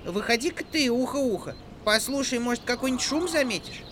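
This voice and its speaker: A man's voice: very high-pitched, lively intonation, brisk, fairly bright tone, a little hiss or hum, voice pushed up. A man voicing an anxious, bossy old village mother in a folk tale, with music behind. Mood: wary. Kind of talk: storybook character voice in a folk tale.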